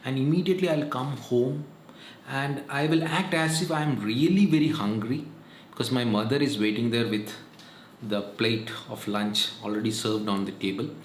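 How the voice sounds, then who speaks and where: A man's voice: medium pitch, moderate pace. A man, giving earnest, repetitive health advice in a casual vlog monologue. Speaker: vlogger. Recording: echoey room, faint noise.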